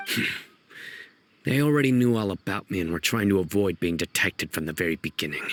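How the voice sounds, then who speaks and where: Anime game lawman: solemn young judge figure, low pitch, deadpan authority, measured delivery. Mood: grave, suspicious. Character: stern, solemn young male judge and enforcer. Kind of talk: anime video game voice acting.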